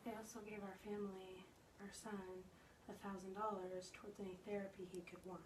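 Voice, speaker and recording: A woman's voice: mid-range voice, level tone, fairly quick, background noise. A woman co-hosting a podcast, adding brief interjections with laughter in casual chat. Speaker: podcast co-host.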